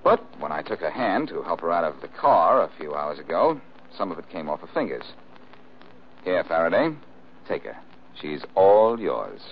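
An American actor playing a cool, sardonic amateur detective in a 1940s radio mystery, glib and self-assured, on an old recording. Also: male voice, sits low, sing-song, moderate pace. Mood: confident, sardonic. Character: wisecracking, cool-headed amateur detective man, quick and sardonic. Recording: dull, hissy old-time radio recording.

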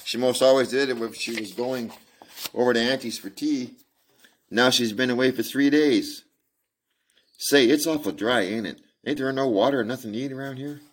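A man's voice: moderate pace, natural voice. A man voicing a small girl lost in the desert, trusting and cheerful, in a raised childlike voice. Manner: high, childlike put-on voice. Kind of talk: amateur audiobook reading.

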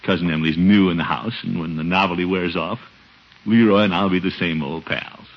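A man's voice: deep voice, steady tone, a little fast. A man playing a fretful, pompous middle-aged uncle, with hesitant, theatrical delivery in a 1950s radio comedy. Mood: fretting. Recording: muffled vintage radio recording.

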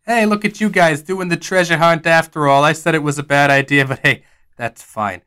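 A man's voice: on the high side, moderate pace, bright, forceful tone, clean tone. A man voicing a brash, nosy Old West sheriff with a drawl. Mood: pushy.

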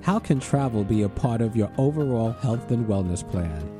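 A man's voice: mid-range voice, animated, normal speed. A man reading a scripted radio show promo, introducing himself as the host. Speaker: radio host, travel consultant. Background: plucked guitar music.

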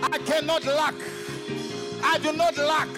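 High-voiced male pastor, slow emphatic delivery over live worship music. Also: little pitch movement. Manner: forceful call-and-response declarations, often shouting. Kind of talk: sermon, leading congregation in declarations.

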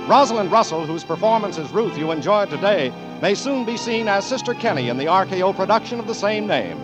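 A man's voice: high-pitched, lively intonation, a little fast, low-quality recording. An American man making scripted 1940s radio announcements in a formal, emphatic manner. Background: music.

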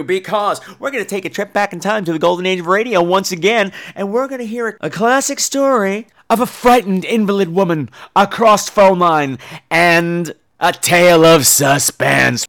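A man's voice: high-pitched, a bit bright. A man hosting a podcast in a casual comedic monologue, with a campy, theatrical and emphatic delivery. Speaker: podcast host.

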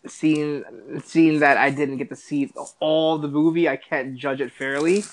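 A man's voice: on the high side, steady tone, unhurried, poor audio. A man co-hosting a podcast, chatting casually about films. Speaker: podcast co-host.

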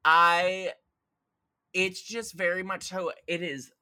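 A man's voice: high voice, flat delivery, takes it slowly, sharp tone, clean tone, no echo. A man chatting casually about films as a podcast guest, opinionated and emphatic, with sarcastic jabs and some swearing. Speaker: podcast guest film critic. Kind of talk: casual film discussion.

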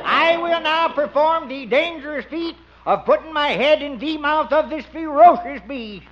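An actor playing a silly, excitable, self-important small-town man in a 1950s radio comedy. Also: extremely high pitch, moderate pace. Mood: showy. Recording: muffled, very noisy old radio recording.

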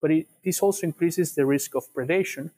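A young man from Ecuador with an Ecuadorian Spanish accent explains research as an interview guest, in a measured way, often repeating words as they go. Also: fairly high pitch, warm tone, husky. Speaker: guest researcher, PhD student.

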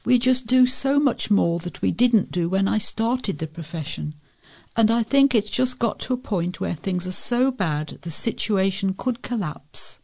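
A woman with a creaky voice reading local newspaper articles aloud as a volunteer reader. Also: medium pitch, expressive, unhurried, darkish tone.

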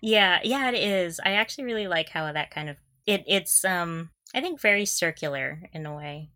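A woman's voice: fairly low pitch, expressive, normal speed, bright, forceful tone, no room sound, studio quality. A woman co-hosting a podcast, chatting casually and enthusiastically as a fan, with a giggly manner. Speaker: podcast co-host.

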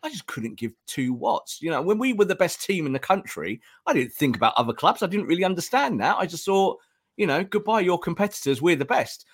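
A man's voice: sits high, very animated, a little fast, slightly rough. A man co-hosting a football podcast, talking earnestly with frequent restarts while losing his voice. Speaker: podcast co-host. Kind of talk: casual football fan discussion.

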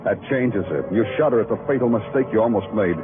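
A man's voice: lowish voice, fast, natural voice. An actor playing a weary, sardonic male police detective in a 1950s radio noir drama, clipped and hard-boiled. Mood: grim narration. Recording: muffled old radio recording, music behind.

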